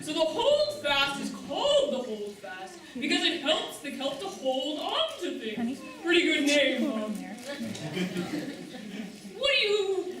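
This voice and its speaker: A lively manta ray schoolteacher instructing children, performed by a woman in a puppet show. The voice is high and animated, exclamatory and bossy, echoing from afar over a clear crowd. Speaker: teacher. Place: large room at open house puppet show. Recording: very echoey hall, far mic, crowd.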